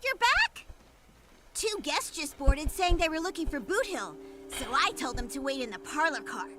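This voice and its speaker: A woman's voice: sits high, big pitch swings, moderate pace. A woman voicing a small, prim conductor creature in a video game, cute and fussy about etiquette. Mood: fussy, indignant. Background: loud game music.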